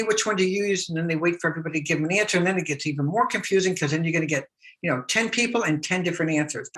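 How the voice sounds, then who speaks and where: A woman's voice: fairly low pitch, fairly bright tone, poor audio. A middle-aged woman talking through a screen-share tutorial in a chatty, repetitive way, full of fillers and restarts, while she is losing her voice. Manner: chatty, repeats herself, many fillers and restarts. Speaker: business blogger teaching online marketing.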